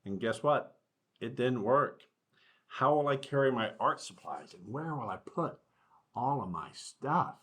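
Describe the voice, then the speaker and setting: A man reading as a husky, fretful storybook snake. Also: medium pitch, animated, a little slow, fairly bright tone, voice pushed up. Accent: American. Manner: exasperated, questioning. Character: frustrated, fretful storybook snake without arms.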